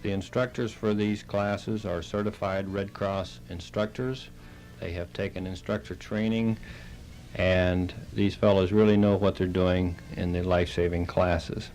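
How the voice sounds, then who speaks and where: A man, a halting and plain-spoken interview guest, explaining things on the radio. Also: low voice, level tone, a little mellow, faint music behind, poor audio. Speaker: interview guest, Red Cross chapter director.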